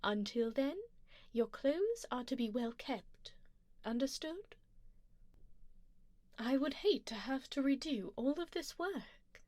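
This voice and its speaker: A woman playing a refined, doting vampire duchess in an ASMR roleplay, soft-spoken and slipping into whispers, with a genteel, gently commanding manner. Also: high-pitched, expressive, slow pace, pauses often, no room sound. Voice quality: soft, breathy whispered passages. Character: refined, doting, possessive female vampire duchess, playfully condescending. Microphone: close binaural microphone, dry.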